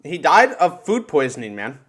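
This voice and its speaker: A man with a slightly high voice talks casually on a podcast. He tells stories in an animated, sweary way, full of bro slang. He comes from the United States. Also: normal speed, husky. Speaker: podcast co-host. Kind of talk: casual podcast chat and storytelling.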